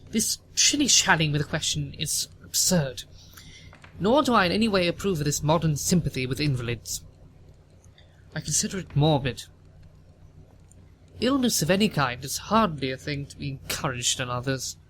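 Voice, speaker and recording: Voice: mid-range voice, lively intonation, moderate pace, pauses often, fairly bright tone, natural voice, poor audio. Male actor as imperious older aristocratic woman, disapproving, formal, pompous, audio play, faint background noise. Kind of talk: audio play comedy of manners.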